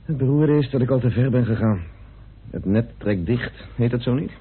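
An actor playing a troubled, stubborn amateur detective with an earnest, brooding manner in an old Dutch radio drama. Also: male voice, medium pitch, lively intonation, moderate pace, breathy edge. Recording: noisy muffled tinny old radio recording.

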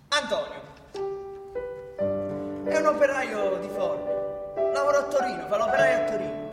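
Guy narrating a political stage piece, super high and swooping voice, declaiming, echoey. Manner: emphatic, declamatory. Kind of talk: theatrical scripted narration, polemical. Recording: echoey hall with music.

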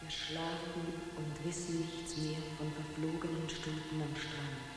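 Man as slow entranced lover in cavernous reverb. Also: fairly high pitch, flat delivery, close to an everyday voice. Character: dreamlike, entranced undersea lover reciting verse. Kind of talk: solemn poetic chant in 1970s radio drama. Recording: cavernous reverb with music behind.

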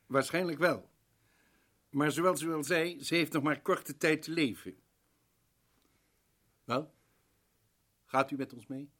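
An actor playing a determined professor detective in a radio drama, pressing people with sharp, insistent questions. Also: male voice, fairly high pitch, slow pace, pauses often. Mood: probing.

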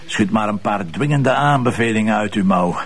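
Dry, sardonic Flemish police commissioner. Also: male voice, lowish voice, moderate pace, slightly rough. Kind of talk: TV drama acting.

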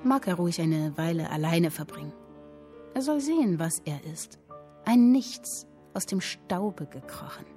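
A woman's voice: fairly low pitch, lively intonation, measured pace, warm tone, soft background music. An actress playing an earnest young woman writer, speaking in a dramatic, literary radio drama style. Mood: scornful, resolute. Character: earnest young woman writing and reading her story.

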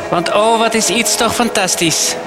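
A man's voice: high voice, unhurried, loud music behind the voice. A man playing an earnest, devoted interpreter who echoes a cult preacher in a satirical audio play.

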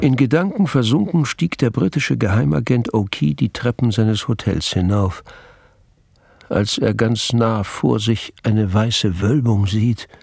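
An actor narrating a detective radio play as a measured, dryly ironic literary storyteller. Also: male voice, medium pitch, creaky, darkish tone, breathy edge, no echo.

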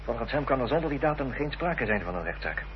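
An actor playing a determined gentleman investigator, formal and reasoning, in a period radio drama. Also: mid-range voice, sing-song, fast. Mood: earnest, reasoning. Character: earnest, determined gentleman investigator in Victorian mystery. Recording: dull, noisy, humming old radio recording.

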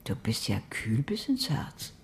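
A woman's voice: very deep voice, wildly swooping pitch, measured pace, slight background noise, full character voice. An actress in her sixties playing an educated, greedy older woman in a radio drama, dry and literary. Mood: scheming.

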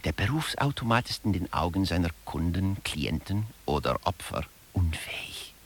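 Very deep gravelly male lecturer. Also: big pitch swings, moderate pace, full character voice. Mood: dry, explaining. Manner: dry, didactic, addresses listeners directly. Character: male management theorist lecturing wryly on workplace incompetence. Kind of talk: scripted satirical lecture in a radio play.

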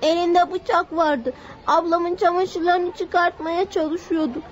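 A woman's voice: very high-pitched, fairly even intonation, slow pace. A woman playing an innocent, pleading little boy in a radio drama, with childlike, whining simple phrasing. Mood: earnest, subdued. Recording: dull, faintly hissy old radio recording.

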